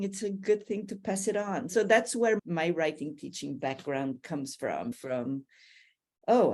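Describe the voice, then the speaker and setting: A woman's voice: mid-range voice, a little mellow. A middle-aged woman from Brazil with a Brazilian Portuguese accent, speaking reflectively as a guest in a podcast interview. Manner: earnest, reflective, laughs now and then. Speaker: guest, university writing lecturer.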